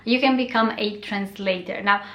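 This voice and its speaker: A woman's voice: mid-range voice, moderate pace, sharp tone, reverberant, lo-fi sound. A young woman whose first language is Romanian, talking casually to camera as a blogger, chatty and friendly with many fillers. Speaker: blogger and YouTuber. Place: bright bedroom, indoors.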